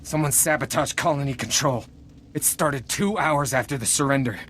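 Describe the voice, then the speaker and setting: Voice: mid-range voice, normal speed, fairly bright tone, a little hiss or hum, lightly acted. An actor playing a bitter, wounded colonist in a video game, strained, accusing and in pain. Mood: angry, accusing. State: in pain, gasping. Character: wounded, bitter, defiant colonist of a conquered world. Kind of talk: dramatic video game acting.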